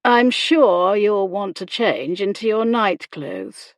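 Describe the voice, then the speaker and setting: A woman voicing a sardonic, commanding gentleman with a sarcastic, deliberate manner in a period romance audiobook. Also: on the low side, animated, no echo. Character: sardonic, commanding gentleman, injured, coolly provoking.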